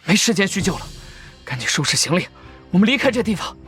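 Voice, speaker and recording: Wary, commanding young Taoist. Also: male voice, high-pitched, very animated, fast, pushed and bright, soft background music, heavily acted. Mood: urgent. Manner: clipped, terse orders. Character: young Taoist senior brother, wary, urgent, commanding leader. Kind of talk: Chinese audio drama acting.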